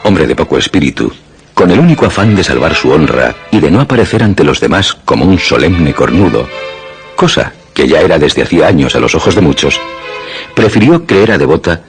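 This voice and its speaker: A man narrating a scripted radio drama in a literary, dramatic way with measured phrasing. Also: very deep voice, very animated. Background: music.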